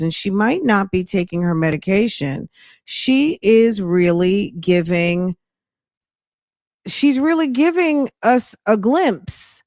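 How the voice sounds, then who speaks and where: A woman's voice: mid-range voice, no echo, clean studio sound. A woman podcast host giving casual, gossipy reaction commentary in a slangy, sassy way, speaking straight to her listeners.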